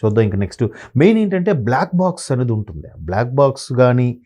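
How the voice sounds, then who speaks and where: A man's voice: mid-range voice, very animated, fast talker, mellow. A man speaking as an analyst guest, talking in a rambling, sombre way with many restarts. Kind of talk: conversational commentary.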